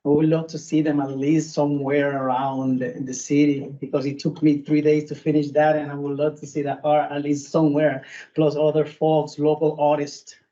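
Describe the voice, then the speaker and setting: A man with a strong Puerto Rican accent giving an earnest, halting public comment as a food truck owner at a city meeting. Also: a little high, level tone, mellow, highs cut off. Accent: Puerto Rican Spanish. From Puerto Rico. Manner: earnest, halting, many restarts and fillers.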